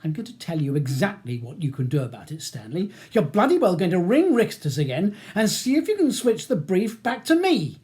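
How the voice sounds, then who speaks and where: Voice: medium pitch, lively intonation, fast talker, hardly any pauses, a little mellow, lightly acted. A reader voicing an imperious, touchy male barrister who is curt, bossy and aggressive.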